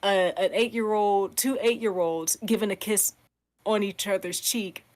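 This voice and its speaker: A woman's voice: medium pitch, fairly even intonation, a little slow. A woman on a podcast panel, talking casually and earnestly as she explains a point, acting out imagined quotes as she goes.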